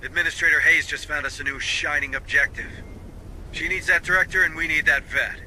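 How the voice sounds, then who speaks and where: Tough, bright-voiced marine sergeant. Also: male voice, a little high, fairly even intonation, a little fast, slight background noise, rough recording. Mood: firm, commanding. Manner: commanding, clipped. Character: tough, no-nonsense male space marine sergeant. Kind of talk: scripted video game dialogue. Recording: faint background ambience.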